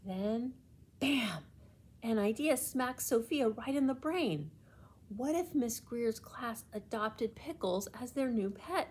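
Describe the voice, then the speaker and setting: A woman reading a children's storybook aloud in an expressive, deliberate way with emphasis. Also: fairly high pitch, husky.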